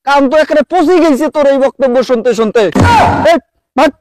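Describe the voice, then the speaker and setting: A fed-up village man rants and hurls insults in a very high, squeaky voice, as a man puts him on. It is a cartoon voice over a noisy soundtrack. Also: a little fast. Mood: exasperated. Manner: rough, insulting, rants loudly.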